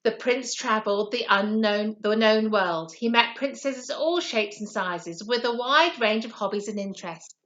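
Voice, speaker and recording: A woman teacher reading a story to children in an expressive, encouraging way. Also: fairly high pitch, moderate pace, few pauses, dull audio. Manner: encouraging, animated.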